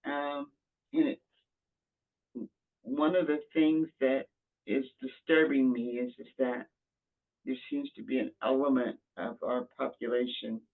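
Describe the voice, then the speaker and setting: A man giving reflective, conversational commentary in a halting way, repeating phrases for emphasis, over a tinny call connection. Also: medium pitch, lively intonation, creaky. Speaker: book discussion participant. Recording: tinny, phone-like call audio.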